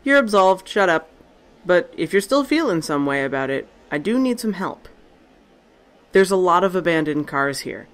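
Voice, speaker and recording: A woman's voice: fairly low pitch, big pitch swings, moderate pace. A woman playing a blunt, sarcastic survivor. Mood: wry. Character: tough sardonic woman survivor, Tessa. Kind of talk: fan audio drama acting.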